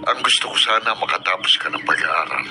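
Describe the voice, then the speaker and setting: A gruff, low-voiced middle-aged farmer dad, stern, radio drama over noise. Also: male voice, lively intonation, a little fast. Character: stern middle-aged male farmer father, cold toward his younger son. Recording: noisy radio broadcast recording.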